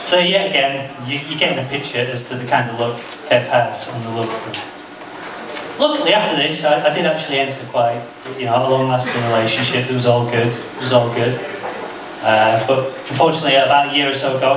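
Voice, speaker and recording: A man's voice: medium pitch, a little fast, noisy background. A man in his thirties doing a self-deprecating stand-up set at a comedy club, telling a story with frequent restarts. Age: 30s. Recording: loud echoey club from a far mic. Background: audience laughter.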